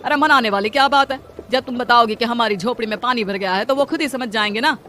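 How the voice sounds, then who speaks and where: A woman's voice: on the high side, fast, sharp tone, a little hiss or hum. Woman voicing a worried poor laborer husband. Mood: protective. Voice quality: earnest, pleading, protective. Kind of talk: Hindi cartoon voice acting.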